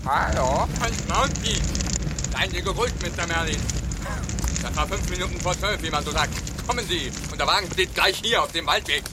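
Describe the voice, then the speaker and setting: Chatty, flippant mob errand man, fairly high voice, vehicle noise behind, radio crime drama. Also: expressive, normal speed. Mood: cheeky, brisk. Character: chatty, flippant male gangster henchman.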